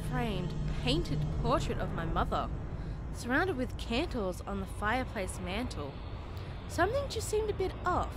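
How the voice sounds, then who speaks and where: A woman narrating a horror story in a dramatic, suspenseful manner, with deliberate pauses. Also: medium pitch. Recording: loud music under the voice. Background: prominent background music.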